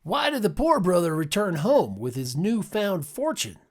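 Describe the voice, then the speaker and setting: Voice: medium pitch, big pitch swings, moderate pace. A lesson presenter reading scripted discussion questions aloud.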